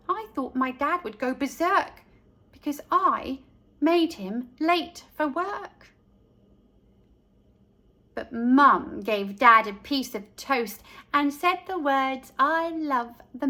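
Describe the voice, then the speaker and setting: A woman narrating a picture book for young children in an expressive, deliberate storytelling manner. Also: high-pitched.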